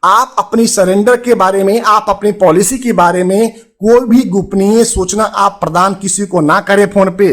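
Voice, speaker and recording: A man's voice: high-pitched, steady tone, normal speed, few pauses, a bit bright. A man with an Indian accent hosting a finance advice channel, addressing viewers formally and emphatically, repeating phrases for stress. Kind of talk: presenter addressing viewers with a consumer alert.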